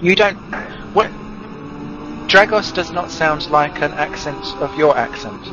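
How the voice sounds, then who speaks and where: A man's voice: a little high, background noise. A man giving chatty, joking play-by-play commentary on a sim race. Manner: chatty, jokey, fast play-by-play. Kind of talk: sim racing live commentary. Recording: muffled stream audio with music behind.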